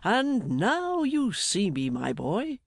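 A man voicing a jolly, blustering older English gentleman in an audiobook, fatherly, emphatic and effusive. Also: sits high, wildly swooping pitch, slow, vocal fry, no room sound, studio quality, voice pushed up, exaggerated voice. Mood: hearty, excited. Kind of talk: dramatised audiobook character.

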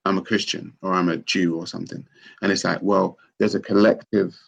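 A man's voice: deep voice, level tone, a little fast, creaky, husky, highs cut off. A man hosting a casual conversation, skeptical and questioning, arguing with rhetorical questions.

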